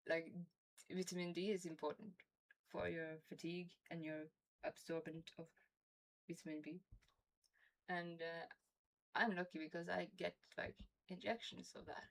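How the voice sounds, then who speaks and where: A woman's voice: lowish voice, flat delivery, moderate pace, long pauses, low-quality recording. A woman from Norway with a Norwegian accent, chatting casually on a game stream with a toothache, rambling and laughing often. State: has a toothache.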